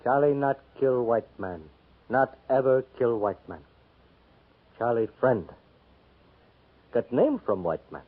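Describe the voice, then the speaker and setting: A grown Crow scout in a 1950s radio play, his voice husky and a little breathy, his speech clipped and broken as he refers to himself by name. The sound is thin and tinny, like an old broadcast heard over a narrow line. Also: mid-range voice, very animated, slow, long pauses. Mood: plain. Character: Crow Indian scout, grown man, wry and proud. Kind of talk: 1950s radio drama acting.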